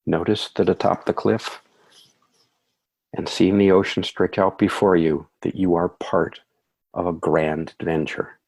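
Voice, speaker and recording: A man leading a guided meditation in a soothing, measured way, with calm phrasing and gentle affirmations. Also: sits low, vocal fry.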